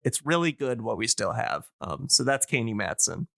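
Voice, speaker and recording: A man's voice: mid-range voice, big pitch swings, normal speed, gravelly, a bit bright, dry recording, clean studio sound. A man in a casual podcast chat, explaining things enthusiastically and in a discursive, meandering way.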